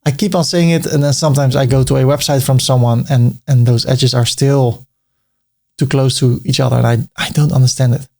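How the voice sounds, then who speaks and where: A man's voice: mid-range voice, fairly even intonation, a little fast, creaky, a little mellow. A man with a Dutch accent, talking through a web design tutorial as he clicks, thinking aloud, repeating words and slipping in dry jokes. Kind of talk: screen-recorded software tutorial.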